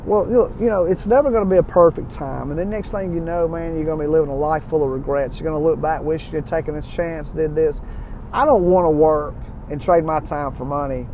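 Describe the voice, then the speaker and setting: A man's voice: on the high side, fairly quick, mellow, a little hiss or hum. A man in his forties giving an earnest, folksy motivational talk to his viewers, repeating himself and restarting phrases. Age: 40s. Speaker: motivational vlogger. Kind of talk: casual motivational talk to camera.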